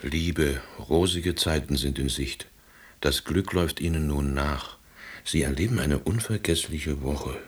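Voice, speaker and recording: An actor voicing printed horoscopes and adverts in a radio play, in a measured, declarative manner. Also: male voice, rumbling low, a little hiss or hum. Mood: assured, oracular. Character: pronouncing voice of a horoscope and job advert. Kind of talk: radio drama read-out announcement.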